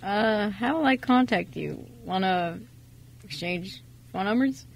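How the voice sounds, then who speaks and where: A husky, creaky voice from a woman playing a kindly male mechanic in his thirties for a radio play. He talks casually and a little awkwardly, hesitant and self-effacing. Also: mid-range voice, slow. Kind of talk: amateur radio drama dialogue.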